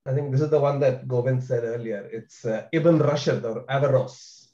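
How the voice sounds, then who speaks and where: A man's voice: mid-range voice, moderate pace, mellow, roomy sound, lo-fi sound. A man answering in a quiz, casually thinking aloud. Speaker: quiz contestant.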